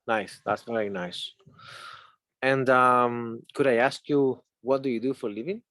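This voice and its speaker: A man's voice: mid-range voice, fairly even intonation, unhurried, a bit bright, dry recording. Male Chilean English teacher, native Spanish speaker, mid-range voice, dry close sound, explanatory interviewing style. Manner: explains step by step with examples. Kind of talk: conversational interview lesson.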